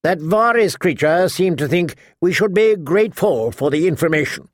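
A man voicing a sharp-tongued old noblewoman in an audiobook, imperious, blunt and talkative. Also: a little high, animated, normal speed, dry recording, clean studio sound, pitched above the performer's own voice. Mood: tart, bossy. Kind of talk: audiobook character acting.

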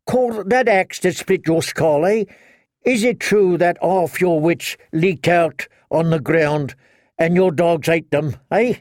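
A man voicing a gloomy, mournful soldier with a dry, drawn-out way of talking. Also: a little high, darkish tone, no room sound, pitched above the performer's own voice. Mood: solemn teasing. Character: gloomy, mournful man of the watch, middle-aged.

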